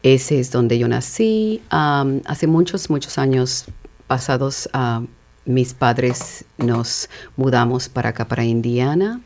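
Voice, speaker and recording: Very low-voiced woman, a visual artist guest. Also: takes it slowly, darkish tone, slight background noise. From southern Texas, on the Mexican border. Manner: thoughtful, many fillers and restarts, slips into English. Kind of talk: conversational interview answers.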